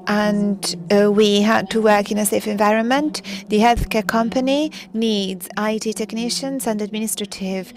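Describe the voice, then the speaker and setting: A woman interpreting a conference talk live, in a halting way with frequent pauses mid-sentence. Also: medium pitch.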